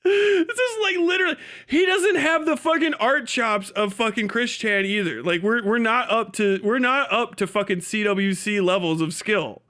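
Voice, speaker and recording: A man's voice: very high-pitched, normal speed, hardly any pauses, pushed and bright. A man livestreaming mocking commentary on a video, casual and sweary, with incredulous exclamations.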